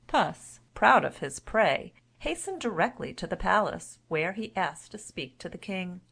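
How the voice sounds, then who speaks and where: Lowish-voiced woman reading a children's story. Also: sing-song, measured pace, gravelly, fairly bright tone, husky. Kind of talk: scripted children's story narration.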